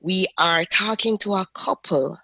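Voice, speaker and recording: A woman's voice: mid-range voice, unhurried, vocal fry, pushed and bright, dry recording. A woman hosting a faith podcast interview, chatty and enthusiastic. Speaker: podcast host.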